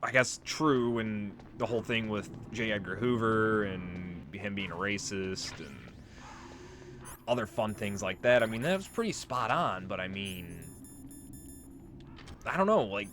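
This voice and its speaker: A man's voice: lowish voice, measured pace. A man giving casual let's play commentary, rambling and musing aloud.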